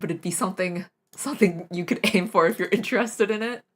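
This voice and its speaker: A woman's voice: on the low side, quick pace, a bit bright, husky. Rambling young woman, casual and self-mocking. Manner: rambling, self-deprecating, laughs often. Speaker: streamer teaching recorder. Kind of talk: casual live-stream chat.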